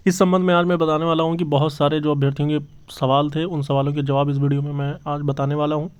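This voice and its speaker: A fairly high-pitched man, hosting an exam news update for his online channel. His voice is smooth and clear, but the audio is a little dull. He talks earnestly, circles back to his points and pads them with fillers. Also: flat delivery, quick pace. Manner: earnest, repeats points, many fillers and restarts. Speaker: channel host giving job exam news. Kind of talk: casual news update to camera.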